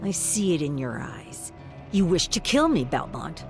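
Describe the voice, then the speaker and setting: A performer playing a drunken village watchman from a gothic fantasy tale, loud, harsh and full of accusation. The voice brays and threatens, with music underneath. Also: medium pitch, sing-song, unhurried, creaky. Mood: drunken, hostile. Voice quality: harsh, braying.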